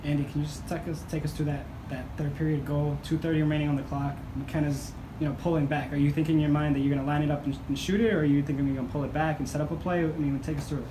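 A man's voice: a little high, flat delivery, very fast, hardly any pauses. A man reporter asking rambling, run-on questions at a press conference. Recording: echoey room, noisy.

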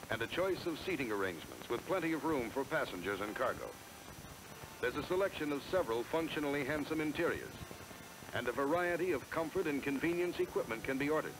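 A man's voice: medium pitch, noisy background. An American man reading scripted promotional narration in a polished, emphatic salesman style, on a 1970s film soundtrack. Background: music.

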